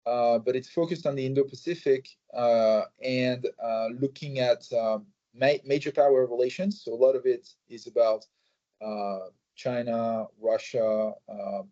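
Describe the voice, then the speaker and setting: A man answering interview questions in a measured way, with many fillers and restarts. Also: medium pitch, fairly even intonation, warm tone. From France. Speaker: think tank president, guest. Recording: slightly muffled recording.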